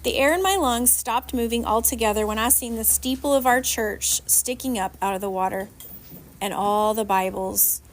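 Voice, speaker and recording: A woman narrating a children's novel aloud in an expressive storytelling style. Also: fairly high pitch. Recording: faint background noise.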